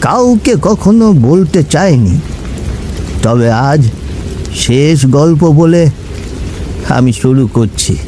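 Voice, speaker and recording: A man's voice: a little high, very animated, slow, long pauses, dark tone. A man voicing a kindly old village grandfather in a cartoon ghost story, talking in a folksy, affectionate way. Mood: warm, reminiscing. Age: older. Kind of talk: animated ghost story acting. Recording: rain and storm noise. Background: rain, thunder.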